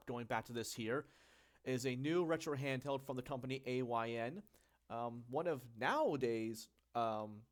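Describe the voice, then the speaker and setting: A young man from the United States who says he is congested from getting sick, hosting a casual solo talk about a gadget, rambling with lots of fillers and self-corrections. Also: medium pitch. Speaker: channel host.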